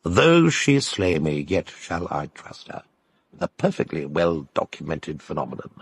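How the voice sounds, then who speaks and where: An actor playing an urbane, cynical literary critic in an audio drama, speaking in a dry, articulate, knowing way. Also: male voice, very deep voice, very animated, unhurried, husky, no room sound, exaggerated voice. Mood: probing, philosophical. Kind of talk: naturalistic audio drama dialogue.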